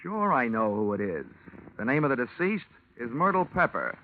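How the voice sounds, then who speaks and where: A man's voice: medium pitch, very animated, normal speed, lightly acted. An actor playing a wry, hard-boiled insurance investigator who narrates his own case, in 1950s radio drama style, on a muffled old recording. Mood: clipped. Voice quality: wisecracking, dry. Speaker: detective lead and narrator.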